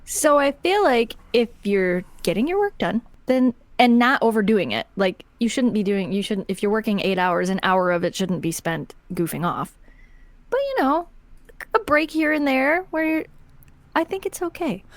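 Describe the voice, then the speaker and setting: An American woman co-hosting a podcast in casual, rambling conversation, telling stories in an animated way. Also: a little high, normal speed, dry recording. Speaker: podcast co-host. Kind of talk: casual chat, storytelling.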